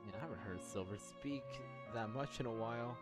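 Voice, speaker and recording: A woman's voice: extremely low pitch, fairly quick. A woman giving casual, chatty playthrough commentary as a streamer, reacting sympathetically. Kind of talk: casual game playthrough commentary, reading along. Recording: loud game music behind.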